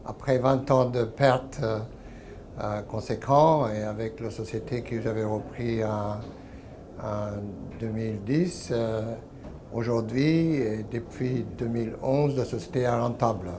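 A man in his sixties speaking non-native French with a foreign accent, giving a company update in an interview in a halting, word-searching way. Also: mid-range voice, fairly even intonation. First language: not French. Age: 60s. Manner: halting, word-searching delivery with frequent hesitations. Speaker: company president and owner being interviewed. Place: small exhibition stand room at trade fair. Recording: clear background noise of trade show.

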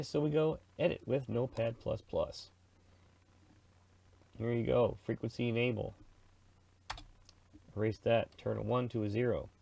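A man's voice: on the low side, creaky, warm tone. A middle-aged man from Canada giving a casual tutorial in a chatty, slangy, informal way, narrating his own thoughts as he goes. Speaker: presenter of a radio software tutorial. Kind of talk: casual screen-recorded tutorial.

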